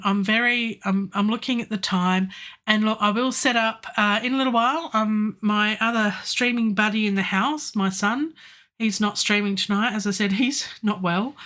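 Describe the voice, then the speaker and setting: A middle-aged woman with a hoarse, sore throat, thinking aloud as she works on a song at home, with lots of ums and pauses. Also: mid-range voice, a bit bright. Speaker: music producer streaming a songwriting session. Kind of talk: casual live-stream talk while working. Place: home studio, indoors. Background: faint music playback from the session.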